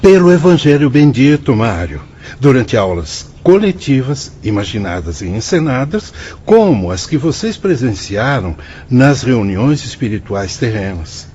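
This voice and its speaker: A compassionate priest and teacher in a Brazilian radio drama. His diction is solemn and formal, with highly animated intonation. The sound is slightly dull, with faint noise behind it. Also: unhurried. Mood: earnest, preaching. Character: wise, compassionate male priest mentoring in a spirit-world institute.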